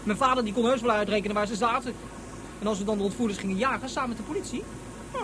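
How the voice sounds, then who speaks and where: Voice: racing, close to an everyday voice. An actor playing a boisterous, naive young boy who chatters in childish exaggerations in a science-fiction radio play. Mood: excited, indignant. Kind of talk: Dutch science-fiction radio drama acting. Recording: clearly noisy, rumbling ship ambience.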